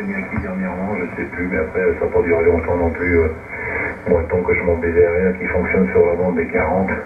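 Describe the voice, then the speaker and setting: A man chatting casually as an amateur radio operator in a hesitant, rambling way over shortwave. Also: deep voice. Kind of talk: casual ham radio chat. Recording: narrow, noisy, echoey distant shortwave radio reception. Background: radio static.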